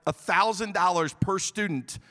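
A man's voice: high voice, moderate pace, pushed and bright, long reverb tail. A man speaking as pastor from the pulpit, enthusiastic and rallying the congregation, in a large church auditorium.

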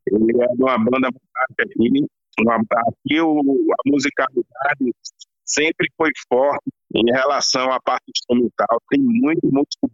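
A man from Rio Grande do Norte with a Northeastern Brazilian potiguar accent, giving long, passionate answers as a guest bass player. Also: fairly even intonation, moderate pace, no room sound, muffled recording. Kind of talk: interview answers.

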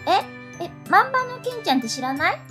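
Lady doing high girly teen student, quirky n earnest, eager storyteller, radio drama w/ faint music. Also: big pitch swings, a little fast, sharp tone, full character voice. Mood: cheerful. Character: friendly, quirky, earnest teenage schoolgirl. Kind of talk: conversational radio drama acting.

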